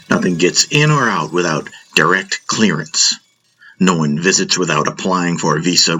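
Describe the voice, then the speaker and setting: A man playing a seasoned, cynical intelligence agent who lectures recruits in a wry, measured, musing way in an audio drama. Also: sits low, sharp tone, a little hiss or hum, rough recording.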